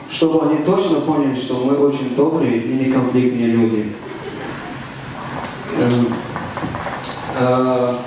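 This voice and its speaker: Deadpan young comic. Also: male voice, a little high, far from the mic. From Tajikistan. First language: Tajik. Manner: deadpan, halting with restarts and fillers. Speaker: stand-up comedian. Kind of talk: stand-up comedy set. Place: stage in a club. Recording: echoey room recorded from afar, faint noise.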